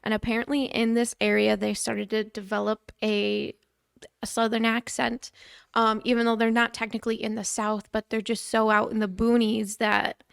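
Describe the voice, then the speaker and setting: Gravelly-voiced chatty woman from Indiana. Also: a little high, little pitch movement, moderate pace, dry recording, studio quality. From Indiana, USA. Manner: chatty, laughs often. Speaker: podcast co-host. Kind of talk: casual chat.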